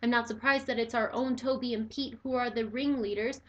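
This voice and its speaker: A woman's voice: a little high, fairly quick, lo-fi sound, lightly acted. A woman voicing an alarmist dramatic cat. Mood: alarmed. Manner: breathless, urgent warnings. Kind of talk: storybook read-aloud.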